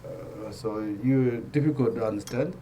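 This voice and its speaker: A man with a Tibetan accent giving a Buddhist teaching in simple, broken English, repeating phrases and joking gently. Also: medium pitch, unhurried, a little hiss or hum. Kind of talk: dharma teaching talk.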